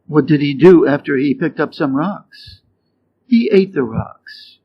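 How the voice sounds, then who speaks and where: An American man from the Midwest reading short fables and quiz questions clearly and deliberately for English learners. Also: a little high. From Wisconsin, USA. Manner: clear, deliberate, rising quiz intonation on answer choices. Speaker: English teacher reading stories. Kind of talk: slow scripted storybook reading for learners. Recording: dull lo-fi sound with faint noise.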